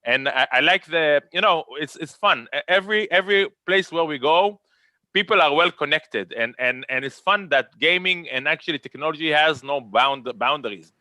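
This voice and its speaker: A man's voice: fairly high pitch, normal speed, sharp tone, dry recording. A man with an Israeli accent moderating a virtual conference panel, chatty and rambling, often repeating words.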